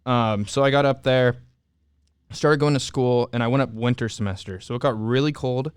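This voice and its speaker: A young man in casual interview talk, candidly telling his own story with halting restarts and fillers. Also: medium pitch, clear, resonant tone, no echo, clean studio sound. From Southern California. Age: young adult. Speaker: returned service missionary guest.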